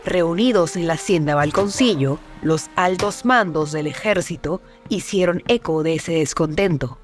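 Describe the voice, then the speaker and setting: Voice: mid-range voice, a little slow, soft background music. A narrator delivering scripted historical documentary narration.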